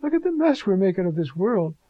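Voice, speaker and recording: A man's voice: high voice, big pitch swings, brisk, no room sound, dull audio. A man preaching on scripture, talking conversationally and rising to fervent emphasis. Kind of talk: sermon on scripture.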